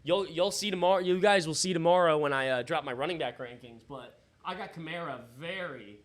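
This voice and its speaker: A man hosting a live fantasy football stream in a casual, slangy, sweary way, thinking out loud, his voice starting to give out. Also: a little high, a little fast. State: losing his voice. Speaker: livestream host. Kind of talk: casual live fantasy football draft commentary with chat shout-outs.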